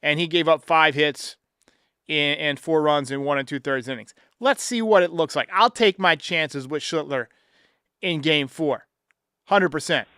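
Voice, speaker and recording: A man's voice: a little high, measured pace, sharp tone, smooth, no room sound, studio quality. A man hosting a sports talk show, giving emphatic, blunt, profane opinions in a commentary style.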